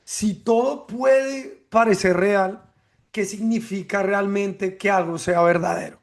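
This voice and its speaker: A man from hosting a podcast, speaking in a measured, thoughtful way and often ending sentences with a tag question. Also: high voice, fairly even intonation, creaky. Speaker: podcast host. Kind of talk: reflective podcast monologue and interview.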